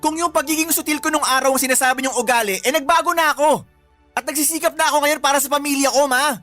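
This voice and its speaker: A man's voice: extremely high pitch, quick pace, pushed and bright, faint music behind. An actor playing a hurt grown son in a Filipino radio drama, pleading insistently with his mother. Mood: upset, pleading. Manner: plaintive, insistent.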